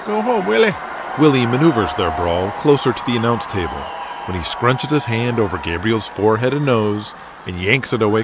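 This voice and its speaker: A man with a low-pitched voice delivers scripted narration for an audio drama. Loud ambient noise runs behind him throughout.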